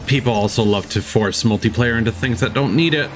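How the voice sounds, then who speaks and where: A man's voice: mid-range voice, brisk, soft background music. A man hosting a watch-along with casual, dry, critical commentary, close to the mic.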